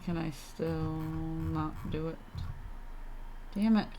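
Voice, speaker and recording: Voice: medium pitch, slow pace, mellow, soft background music. A game streamer giving casual, grumbling commentary with offhand swearing while playing. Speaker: livestreamer playing a video game.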